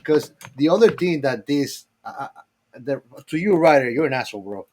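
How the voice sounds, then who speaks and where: A man's voice: mid-range voice, expressive, normal speed, darkish tone, a little hiss or hum. A man co-hosting a casual film discussion in an informal, slangy way, repeating phrases for emphasis. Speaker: podcast co-host.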